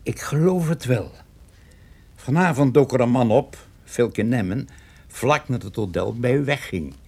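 A man acting as a streetwise, sceptical police investigator in a radio drama, husky and matter-of-fact. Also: mid-range voice, lively intonation, a little slow, a little mellow.

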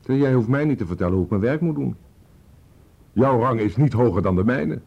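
A man's voice: medium pitch, lively intonation, a little fast, vocal fry, breathy edge, lo-fi sound. An actor playing a self-righteous, grumbling policeman, pompous and indignant, in a radio drama.

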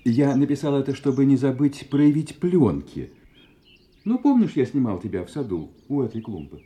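An actor playing a suave, secretive husband with a volatile edge in an audio play, speaking in theatrical, silky tones. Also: male voice, mid-range voice, very animated, natural voice. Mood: smooth, evasive. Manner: theatrical, silky endearments, drawn-out hesitations, sudden sharp outbursts. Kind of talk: Russian audio play acting.

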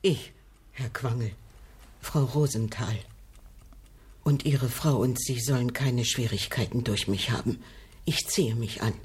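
An actress playing a timid, apologetic old woman in hiding in a radio drama, meek and polite, with a breathy, husky voice. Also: very deep voice, unhurried, long pauses, darkish tone, full character voice. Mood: timid, grateful. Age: older.

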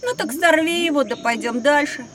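Very high-voiced cheeky schoolboy. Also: female voice, moderate pace, fairly bright tone, slight background noise. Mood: unimpressed. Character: inquisitive, matter-of-fact, cheeky young schoolboy. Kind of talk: children's educational audio drama acting.

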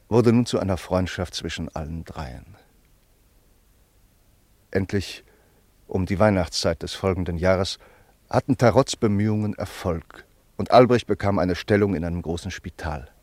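A low-voiced man narrates a radio drama in a calm, measured voice. The recording is dry and close, with no room sound. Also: dry recording. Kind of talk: scripted radio play narration.

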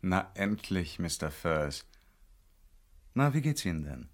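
A man's voice: low voice, sing-song, slow pace, full character voice. An actor playing a mockingly courteous villain, a captor toying with his prisoner, in a 1970s German radio crime drama. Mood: mocking, menacing. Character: smooth-talking villain, mockingly polite male captor.

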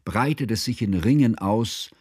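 Mournful, learned older narrator for literary radio drama: formal, measured, lower-pitched, very dry sound. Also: male voice, darkish tone, slightly rough, no room sound. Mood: solemn, reflective. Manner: solemn, measured, ornate literary phrasing. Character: grieving, learned, formal older male narrator remembering his friend.

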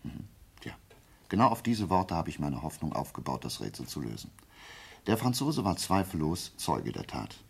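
An actor playing a brilliant, self-assured detective in a German radio play, explaining deliberately and prodding with rhetorical questions. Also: male voice, rumbling low, sing-song, vocal fry, darkish tone, slightly rough, exaggerated voice. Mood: assured, explanatory. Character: brilliant, methodical male amateur detective, self-assured and theatrical.

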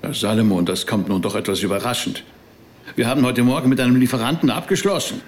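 A man's voice: mid-range voice, fast talker, background noise, natural voice. A man playing a sceptical, sarcastic rabbi in a radio drama, speaking in a dry, pointed, formal way.

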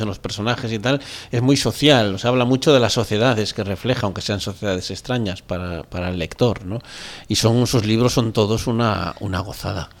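A man from co-hosting a casual chat about books, appreciative and often correcting himself. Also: mid-range voice.

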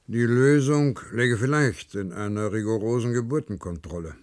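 An actor playing a guarded, calculating president in a German radio play, measured, formal and cagey. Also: male voice, mid-range voice, no echo, studio quality, natural voice.

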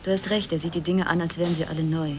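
A woman playing a cool, disillusioned, sharp-tongued woman in a radio play, with a dry, cutting delivery. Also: fairly low pitch, steady tone, fast talker, over music, natural voice. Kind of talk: German radio play acting. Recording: noisy ambient background.

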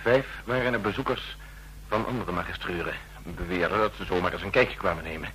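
A man's voice: low-pitched, brisk, bright, forceful tone, a little hiss or hum, low-quality recording. An actor playing an earnest, worried male official seeking help in a radio drama. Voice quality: earnest, anxious. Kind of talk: vintage radio drama acting.

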